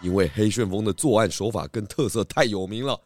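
Man voicing a low-voiced, patient pig detective. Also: a little fast, darkish tone, soft background music, close to an everyday voice. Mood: confident explaining. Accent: Taiwanese. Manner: patient, explanatory, teasing out clues. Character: calm, clever male pig detective who patiently reasons. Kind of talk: children's audio drama acting.

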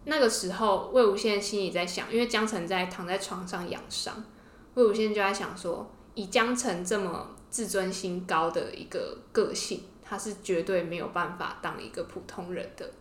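Female podcast host, Taiwanese Mandarin accent, mid-pitched, casual explanatory storytelling, roomy echo. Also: fairly even intonation, moderate pace, a little hiss or hum. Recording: roomy, echoing podcast room.

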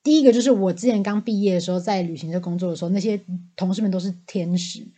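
A young woman with a Taiwanese Mandarin accent co-hosting a podcast in casual banter, animated and blunt, swearing freely and laughing often. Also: medium pitch, fast talker. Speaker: podcast co-host.

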